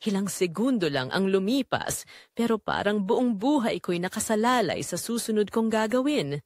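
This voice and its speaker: Expressive woman narrating a drama. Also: medium pitch, moderate pace, no room sound, studio quality. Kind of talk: dramatic first-person scripted narration.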